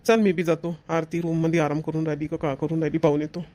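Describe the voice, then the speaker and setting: A man's voice: fairly high pitch, level tone, quick pace, darkish tone, natural voice. A man voicing a concerned, touchy son-in-law in a family cartoon, earnest and complaining, with a Vidarbha Marathi accent. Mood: inquisitive. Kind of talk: family comedy cartoon dialogue.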